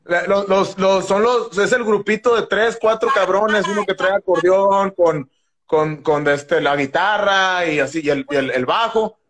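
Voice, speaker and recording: A man's voice: high-pitched, measured pace, a bit bright, a little hiss or hum. A man, rambling through a story on a phone video call, stumbling and restarting and swearing freely. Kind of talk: casual chat on a live video call. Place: indoors, sitting on a couch.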